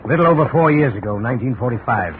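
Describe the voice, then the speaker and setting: An actor playing a businesslike, insistent insurance adjuster in a 1950 radio drama, formal and skeptical. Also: male voice, medium pitch, lively intonation, rapid-fire, phone-quality sound, lightly acted. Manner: formal, directing, matter-of-fact. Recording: thin, noisy old radio recording.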